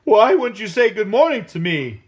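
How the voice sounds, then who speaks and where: A man performs an indignant python from a folk tale. He protests loudly in a raised pitch, swinging widely as he defends himself. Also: big pitch swings, normal speed, breathy edge, exaggerated voice. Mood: demanding. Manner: loud, protesting.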